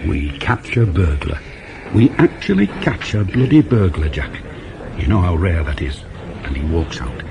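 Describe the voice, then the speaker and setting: A low, gruff voice swings freely through the blunt grumbling of a veteran police inspector. He is older, sardonic and thoroughly cynical, in a 1980s radio drama on a clearly noisy old tape. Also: very animated, a little slow, full character voice. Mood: exasperated.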